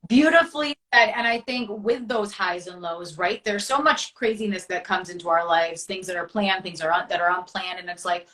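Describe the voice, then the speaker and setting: A woman's voice: fairly low pitch, normal speed, hardly any pauses, sharp tone. A woman hosting a casual interview, affirming and enthusiastic, filling her talk with "like" and "right.". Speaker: podcast host.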